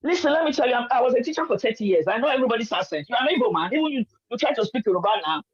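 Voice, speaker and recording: A woman's voice: a little high, lively intonation, racing, slightly rough. An older Nigerian woman with a Nigerian accent, arguing politics as a caller, insistent and talking over the host. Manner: insistent, talks over the host, repeats herself. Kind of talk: heated political call-in argument. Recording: muffled lo-fi line.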